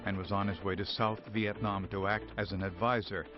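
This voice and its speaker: A man's voice: fairly low pitch, steady tone, a little fast. A man with an American accent reading formal, scripted documentary narration. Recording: slightly dull audio under music.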